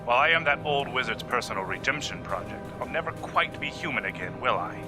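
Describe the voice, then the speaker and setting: A man playing an urbane, inhuman immortal gentleman agent in an audio drama, dry, wordy and needling. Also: medium pitch, lively intonation, quick pace, music in the background, lightly acted. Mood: cold, resolved. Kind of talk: scripted audio drama acting.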